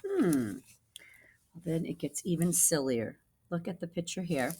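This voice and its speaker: A woman teacher reading a children's story aloud expressively, pausing to put questions to the listener. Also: on the low side, animated, warm tone, slightly rough.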